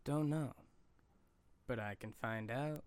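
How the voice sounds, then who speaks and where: A man's voice: medium pitch, slow, a little mellow, dry recording, close to an everyday voice. A man playing a cocky, impatient teenage boy superhero sidekick in a cartoon fandub, with snarky, quippy delivery. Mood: sly, curious.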